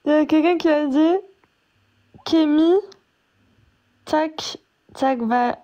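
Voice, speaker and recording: A woman's voice: high-pitched, slow pace, warm tone, highs cut off. A woman with a Paris suburban accent, a direct and questioning guest in a casual live chat.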